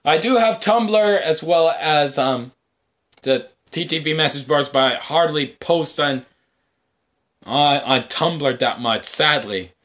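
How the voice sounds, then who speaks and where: A man hosting a podcast, talking casually to his viewers in a rambling, halting way with long hesitant pauses. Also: on the high side, expressive, fairly bright tone. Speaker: podcast host.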